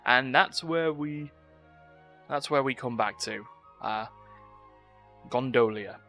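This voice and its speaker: A man's voice: mid-range voice, takes it slowly, bright, forceful tone, faint music behind. A man hosting a casual live game stream, chatty and wry, poking fun at himself. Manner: self-deprecating, wry, chatty. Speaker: video game streamer, host. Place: indoors at home, live stream, game sound behind.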